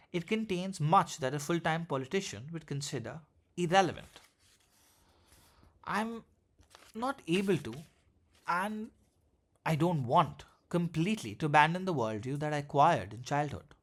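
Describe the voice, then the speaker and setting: A man with a slightly high voice narrates a literary essay. He reads in a measured, formal manner, and now and then trips over a word. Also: a bit bright. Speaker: essay reader.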